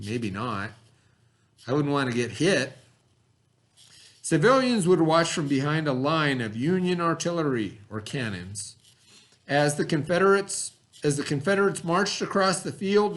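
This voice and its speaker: A man reading aloud like a teacher to pupils, pausing to explain words and put questions to his listeners. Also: fairly high pitch, a bit bright. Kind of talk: educational read-aloud with asides and questions.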